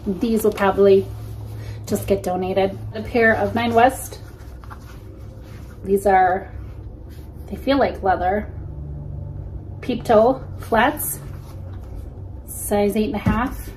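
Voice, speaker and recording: A woman's voice: medium pitch, a little hiss or hum, rough recording. A woman in her forties chatting casually on camera with lots of ums as she looks over used shoes, in a small room. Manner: chatty, easygoing, frequent ums. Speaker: reseller vlogger. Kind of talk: casual unboxing commentary. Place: small indoor room against a plain wall.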